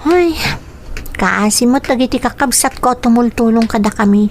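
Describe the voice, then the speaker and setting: High girlish voice, woman playing dutiful young elder sister, plaintive, earnest, radio drama delivery. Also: moderate pace, slight background noise, close to an everyday voice. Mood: worried. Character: dutiful, sorrowful young daughter, eldest-sister type, in poor farm family. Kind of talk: Ilocano radio drama acting with inner monologue.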